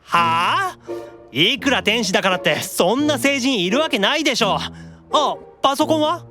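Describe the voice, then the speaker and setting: Man playing a sulky, animated young otaku demon. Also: very high voice, moderate pace, over music, voice pushed up. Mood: prodding, indignant. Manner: petulant, accusing, teasing. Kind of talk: improvised audio drama acting.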